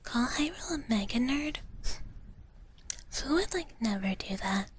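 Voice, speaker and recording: A woman whispering softly and breathily in a cutesy ASMR roleplay as a cozy dragon big sister, chatting with viewers close to the mic. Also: on the high side, lively intonation, unhurried, husky. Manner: cutesy, speaks of herself in the third person. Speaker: ASMR livestream host.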